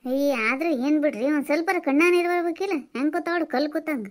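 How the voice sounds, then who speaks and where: A woman's voice: sits high, quick pace, lightly acted. A woman with a North Karnataka accent playing a sentimental, fussing married woman in a family skit, chatty and plaintive. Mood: indignant, plaintive.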